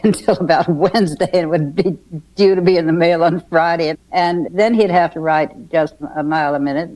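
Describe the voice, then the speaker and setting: An older woman telling casual reminiscences in an unhurried, chuckling manner. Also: fairly low pitch, warm tone, low-quality recording. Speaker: radio writer's wife and co-plotter, interviewee.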